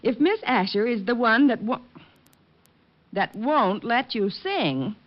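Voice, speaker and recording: A woman's voice: on the high side, slow pace. An actress playing a detective's sophisticated girlfriend, amused and fondly exasperated, in old radio comedy-drama style. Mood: wry reading. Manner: lively, teasing, mock-scolding. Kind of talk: 1940s-50s radio comedy-drama acting. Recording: dull old-time radio recording.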